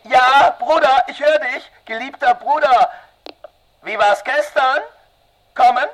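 A man's voice: extremely high pitch, takes it slowly, fairly bright tone, pitched above the performer's own voice, heavily acted. A man voicing an eager, affectionate brother who calls out from afar through a telephone filter. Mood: calling. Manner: calling out, affectionate. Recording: tinny phone-line sound.

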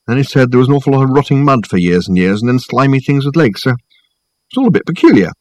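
A man voicing a bemused young gentleman in an audiobook, hesitant and puzzled, correcting himself as he goes. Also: mid-range voice, sing-song, quick pace, dry recording, close to an everyday voice. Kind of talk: audiobook character acting.

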